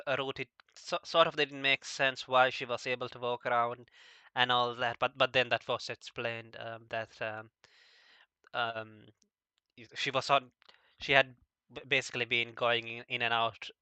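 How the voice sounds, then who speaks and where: A man talking casually as an interviewer, halting and stammering over the starts of his words. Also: mid-range voice, bright, forceful tone, clear, resonant tone, no echo. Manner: halting, stammering, frequently repeating word starts. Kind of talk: casual discussion of visual novels.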